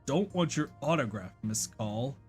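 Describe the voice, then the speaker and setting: Someone putting on a gruff, curt male police detective. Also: mid-range voice, animated, measured pace, faint music behind, natural voice. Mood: businesslike. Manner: curt, commanding.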